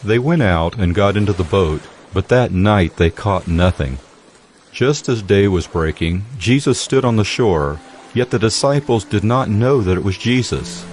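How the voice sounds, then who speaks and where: A man narrating scripture from a script in a measured, solemn way. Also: fairly low pitch, expressive, a little hiss or hum. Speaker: Bible narrator.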